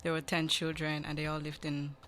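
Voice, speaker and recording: Female interview guest, low voice, Cameroonian accent, conversational delivery. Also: completely flat delivery, a little fast, a bit bright, slight background noise. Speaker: podcast guest, charity representative.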